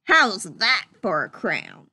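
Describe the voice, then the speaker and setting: Woman as a mocking soldier, very animated. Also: mid-range voice, slow, husky, voice pushed down, heavily acted. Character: scoffing, bullying male castle soldier. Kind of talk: children's storybook read-aloud.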